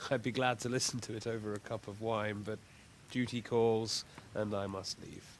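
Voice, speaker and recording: A man's voice: on the low side, flat delivery, normal speed, a little hiss or hum, close to an everyday voice. A man acting as a worldly visiting knight in a video game, with formal, polished courtesy and an aloof air. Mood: polite, reserved. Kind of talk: video game cutscene dialogue.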